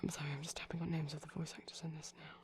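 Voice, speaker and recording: A man speaking softly in a chatty, rambling ASMR style, often dropping to a whisper, digressive and tentative. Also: on the high side, completely flat delivery, racing. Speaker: ASMR performer. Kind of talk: soft-spoken rambling ASMR commentary on a film. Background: TV film audio playing in background.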